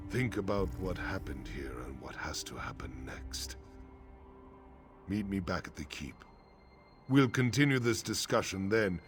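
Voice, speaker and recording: Deep, gravelly, brooding orc chief. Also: male voice. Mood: weary, resolute. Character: weary, solemn male orc chief, gloomy and honourable. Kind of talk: fantasy game dialogue.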